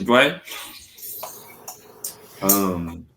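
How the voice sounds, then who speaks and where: Man, very deep voice, laid-back podcast chat, rambling, swears a lot. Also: lively intonation, drawn-out words, fairly bright tone, soft background music. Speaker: podcast co-host. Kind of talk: casual chat.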